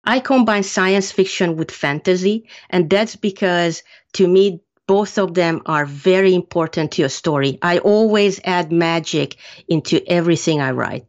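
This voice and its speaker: Woman from Hungary, lowish voice, measured earnest author answers, muffled lo-fi recording. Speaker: author being interviewed. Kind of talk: prepared interview answers. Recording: muffled lo-fi sound.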